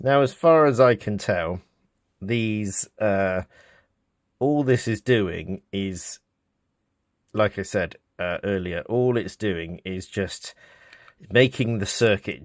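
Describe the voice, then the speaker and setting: A man with a low-pitched British voice talks through a practical repair in an informal, unscripted way. He sounds like a hobbyist thinking aloud, with some hesitation. The sound is dry and close, with little room reflection. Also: dry recording. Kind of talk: casual unscripted repair demonstration. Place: indoors, small office.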